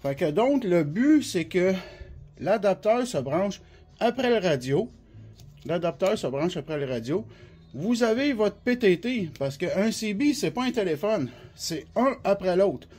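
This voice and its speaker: High-pitched Québécois man explaining gear. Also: sing-song, measured pace, darkish tone, husky. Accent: Québécois French. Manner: colloquial, repetitive, step-by-step explaining. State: has the flu, coughing now and then. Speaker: CB radio specialist giving a product demonstration. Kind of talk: informal product explainer. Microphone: phone held by the speaker.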